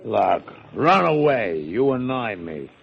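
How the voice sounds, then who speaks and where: A man's voice: lowish voice, very animated, slow, gravelly, muffled recording, heavily acted. An American actor playing a surly, suspicious tough guy in an old radio drama, curt and impatient. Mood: irritated. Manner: curt, brusque. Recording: noisy vintage radio recording.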